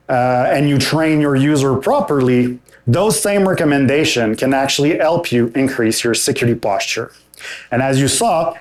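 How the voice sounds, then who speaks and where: A man answering audience questions after his conference talk, his voice sitting a little high for a man. He is relaxed and often pauses on "uh" or restarts a phrase while he thinks. The hall around him adds a clear echo. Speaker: conference presenter. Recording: roomy hall sound.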